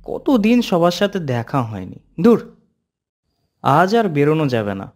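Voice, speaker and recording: A man voicing and narrating as a young man returning to his village in a horror cartoon, swinging between eager and hushed tones. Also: fairly high pitch, sing-song, normal speed. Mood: musing. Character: young man Ajit, returning home, anxious.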